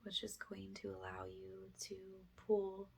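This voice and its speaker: A woman leads a spiritual ASMR cleansing in a hushed voice that often slips into a whisper. Her delivery stays flat and even, at a medium pitch. Some background noise and small clicks sit behind her. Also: a little slow, vocal fry. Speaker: ASMR energy healer.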